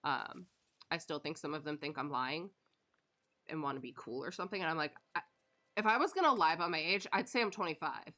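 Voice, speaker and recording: Bright, chatty woman streamer in her thirties. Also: low voice, lively intonation, a little fast. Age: 30s. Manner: chatty storyteller, swears casually, laughs often. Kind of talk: casual chat while gaming.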